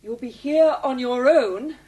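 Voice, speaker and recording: An actress playing a nervous, evasive office clerk who answers hesitantly in a radio play. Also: female voice, high-pitched, unhurried, mellow, low-quality recording. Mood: uneasy. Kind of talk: radio drama acting.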